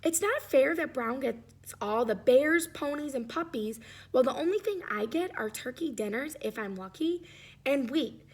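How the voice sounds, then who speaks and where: A woman's voice: high voice, lively intonation, moderate pace, breathy edge, exaggerated voice. Woman as a proud, slighted little beige crayon. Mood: aggrieved pride. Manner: aggrieved, insistent.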